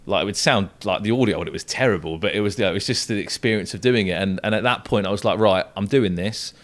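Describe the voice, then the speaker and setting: A man's voice: lowish voice, fast talker, fairly bright tone. A young man from the south coast of England with a southern English accent, an esports commentator talking casually as a podcast guest. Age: young adult.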